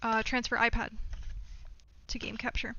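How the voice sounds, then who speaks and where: Bright-voiced young woman streaming, rambling casually. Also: on the high side, completely flat delivery, moderate pace, muffled recording, a little hiss or hum. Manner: rambling, self-distracting, thinking aloud. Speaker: live streamer. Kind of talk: casual gaming livestream chat. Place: indoor room at home.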